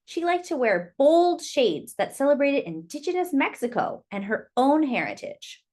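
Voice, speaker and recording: High, very lively woman reading to children. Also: normal speed. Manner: expressive, addresses young listeners as friends. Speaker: storyteller reading a picture book to children. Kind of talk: children's read-aloud with short asides.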